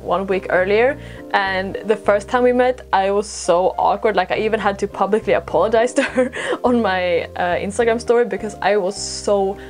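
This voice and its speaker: A woman's voice: medium pitch, normal speed. Young Swedish woman vlogging, music behind. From Sweden. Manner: informal, self-deprecating, rambling asides. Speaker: podcast host and vlogger. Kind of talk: casual chatty storytelling to camera.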